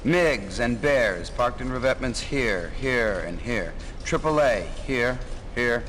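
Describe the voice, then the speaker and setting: An actor playing a businesslike navy officer giving a military briefing in a game. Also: male voice, mid-range voice, animated, slow pace, fairly bright tone, a little hiss or hum. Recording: music under the voice.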